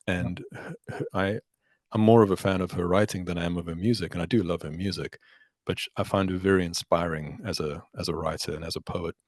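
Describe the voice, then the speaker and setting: A middle-aged musician, a man, talking as a guest in a relaxed interview, reflective and measured, thanking his host warmly. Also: low voice, no echo, studio quality. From South Africa. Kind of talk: casual interview chat.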